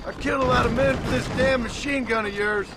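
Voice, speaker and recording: An actor playing a stern, weary American gunslinger with a Western accent, a gravelly voice and a terse, sardonic manner. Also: male voice, extremely high pitch, steady tone, brisk. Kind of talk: video game cutscene acting. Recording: noisy game effects behind.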